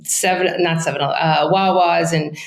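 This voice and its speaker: A middle-aged woman with a thick Brooklyn accent who grew up speaking Italian, telling her story in a loose, chatty way full of asides. Her voice sits on the low side for a woman. The room around her is noticeably echoey. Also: steady tone, measured pace. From Brooklyn, New York. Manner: chatty, candid, many fillers and asides. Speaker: real estate agent. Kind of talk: casual interview.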